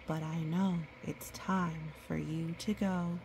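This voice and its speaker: Woman as a creaky-voiced, motherly polar bear. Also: low-pitched, little pitch movement, takes it slowly, a little mellow, slight background noise, rough recording. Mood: tender. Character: motherly female polar bear, firm but caring.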